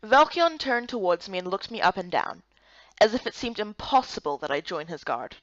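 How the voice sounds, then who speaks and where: A woman's voice: medium pitch, sing-song, moderate pace, fairly bright tone, no room sound, highs cut off. A woman reading scripted story narration from a fantasy visual novel game. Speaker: narrator and voice performer.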